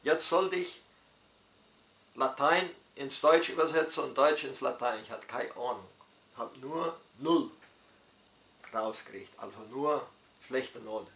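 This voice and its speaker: An older man from southern Brazil with a Hunsrückisch German accent, a retired pastor telling his life story in an interview, unhurried and full of dialect forms. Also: medium pitch, a bit bright, reverberant.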